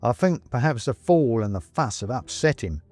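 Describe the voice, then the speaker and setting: A man voicing a wary, soothing gentleman who speaks slowly and conspiratorially. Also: mid-range voice, no room sound, soft background music, close to an everyday voice. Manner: slow, calming, conspiratorial. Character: soothing, wary middle-aged gentleman householder. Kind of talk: audiobook character reading.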